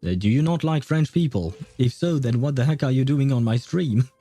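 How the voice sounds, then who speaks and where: A man's voice: medium pitch, moderate pace, dark tone, dry recording. A man hosting a livestream, chatting casually in a rambling way and often losing his train of thought. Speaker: livestream host. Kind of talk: casual game stream chat.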